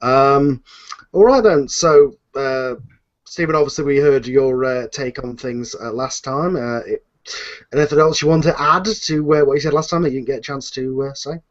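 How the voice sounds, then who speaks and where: Male host, mid-pitched, rambling and effusive in casual panel talk, dull recording. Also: moderate pace. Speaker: host and series producer.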